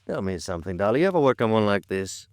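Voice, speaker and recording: An American male police lieutenant in an old radio detective show. His low voice is clear and resonant, with a dry, close sound. He probes skeptically in plain, folksy speech, dropping words and endings. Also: sing-song, quick pace, dry recording. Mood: weary, businesslike. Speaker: police detective questioning a witness. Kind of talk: old-time radio noir detective drama acting.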